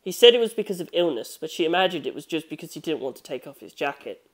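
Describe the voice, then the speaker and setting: A man's voice: on the high side, very animated, fast. A man reading scripted fiction as an audiobook narrator.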